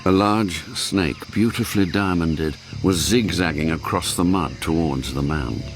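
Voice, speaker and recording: A man's voice: low-pitched, unhurried, soft background music. A man giving dramatic scripted narration of an action story for radio.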